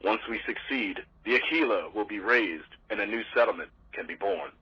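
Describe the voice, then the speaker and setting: An actor playing a solemn, formal wasteland soldier with Roman pomp, declaiming through a voicemail filter in an audio drama. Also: male voice, fairly low pitch, normal speed, husky, no echo. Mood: solemn, proud. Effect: voicemail telephone filter. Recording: dull, muddy voicemail phone recording.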